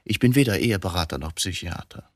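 An actor in a radio drama playing a coolly provocative, philosophical man who has confessed to killing his wife, speaking deliberately in probing rhetorical questions. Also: deep voice, lively intonation, no echo, studio quality. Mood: cool, defiant.

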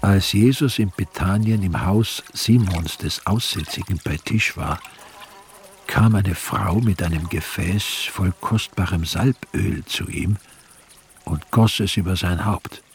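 An older man playing a grave Evangelist, narrating scripture with solemn, measured delivery in a radio drama. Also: sits low, a little hiss or hum. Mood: grave, hushed. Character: grave old male Evangelist recounting the Passion.

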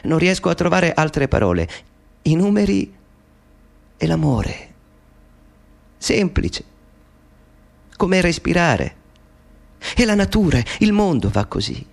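A middle-aged man confiding in his mother in a radio play. His voice is gravelly, slow and deliberate. The pitch rises and falls with feeling. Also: very animated, heavily acted. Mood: reflective. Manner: wistful, intimate monologue. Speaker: actor.

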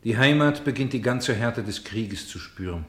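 An actor playing a solemn narrator in a German radio play, speaking with measured declamation. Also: male voice, medium pitch, fairly bright tone, roomy sound, close to an everyday voice. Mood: grave. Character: narrator turned player, voicing cities, streets and houses.

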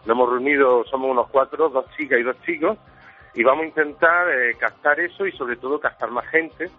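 A man's voice: mid-range voice, fairly quick. Man in his thirties, tinny. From Almería, Spain. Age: 30s. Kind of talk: recorded message. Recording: tinny phone-like line with faint music.

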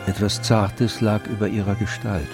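A man narrating a literary radio play in measured, scripted storytelling. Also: on the low side, level tone, over music.

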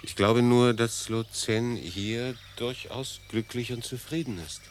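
A man playing a calm, tactful gentleman in a radio drama, speaking with composure. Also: mid-range voice, slow, a little hiss or hum, natural voice. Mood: composed, tactful.

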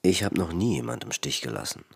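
City political boss, male, gravelly, very deep, blunt, cursing, radio drama. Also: sing-song, fast, full character voice. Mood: blunt, insistent. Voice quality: gravelly, husky.

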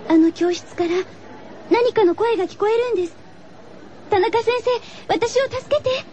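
A woman's voice: very high voice, moderate pace, mellow. A woman voicing a mysterious schoolgirl class president, frightened, pleading and stubborn, in a radio horror drama. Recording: dull noisy radio recording.

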